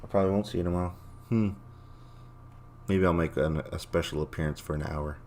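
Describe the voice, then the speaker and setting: A man's voice: sits low, steady tone, a little fast, darkish tone, a little hiss or hum. A man hosting a casual livestream in a rambling, blunt, profane way, his voice a little worn as if he is losing it. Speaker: livestream host. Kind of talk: casual livestream sign-off chat, reading chat comments aloud.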